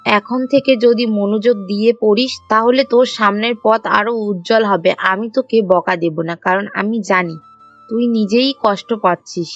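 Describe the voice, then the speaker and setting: A woman playing a caring, firm but forgiving mother of a teenage son in an audio story, gently chiding and encouraging. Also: medium pitch, moderate pace, dull audio, faint music behind, natural voice. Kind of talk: Bengali audio story acting.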